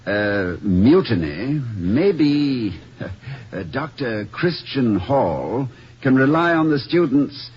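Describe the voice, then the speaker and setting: An actor in an old-time radio comedy-drama playing a kindly, formal, slightly pompous college president who speaks in wordy, gently wry sentences. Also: male voice, mid-range voice, sing-song, slow pace, breathy edge, exaggerated voice. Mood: wry, playful. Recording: muffled, noisy old radio recording.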